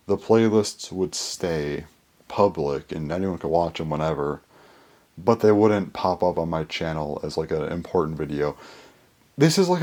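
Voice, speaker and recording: Hoarse young man with a very low voice. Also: darkish tone. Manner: rambling, full of fillers and restarts. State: hoarse, voice mostly gone. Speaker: YouTuber. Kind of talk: casual vlog chat.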